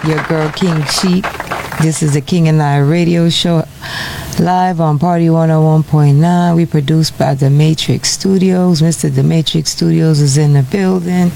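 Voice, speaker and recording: A woman's voice: low voice, steady tone, unhurried, vocal fry, warm tone. A woman hosting a radio show, chatting in a laid-back, rambling way with lots of fillers and restarts. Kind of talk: casual radio chat. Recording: noisy radio studio recording.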